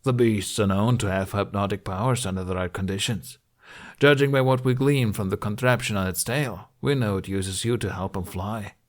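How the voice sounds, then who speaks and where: A man voicing a smug, long-suffering Viking mercenary leader in an audiobook, measured and condescending. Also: fairly low pitch, close to an everyday voice.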